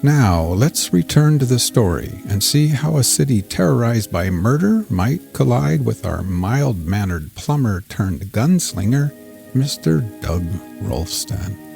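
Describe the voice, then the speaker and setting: A man's voice: mid-range voice, big pitch swings, a little slow, vocal fry, darkish tone, faint music behind. A man hosting and narrating a scripted fiction story. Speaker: host and narrator.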